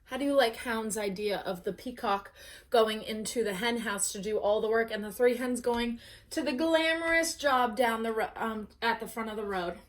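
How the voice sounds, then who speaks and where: A woman storyteller reading aloud to children in an expressive, dramatic way, as at bedtime story time. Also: on the high side, moderate pace.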